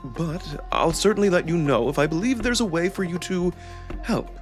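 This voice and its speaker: A man acts out a young male jackal prince in a visual novel. He is smug and calculating, with courtly politeness and a very lively, swooping intonation over soft music. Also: high voice, voice pushed up. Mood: measured, cautioning. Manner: measured, teasing, theatrically polite.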